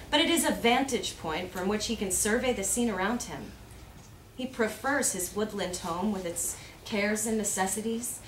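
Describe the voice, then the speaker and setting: A woman giving a measured, contemplative live reading of reflective prose. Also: fairly high pitch, a bit bright. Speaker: reader at a readers theater event. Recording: echoey room with faint background noise.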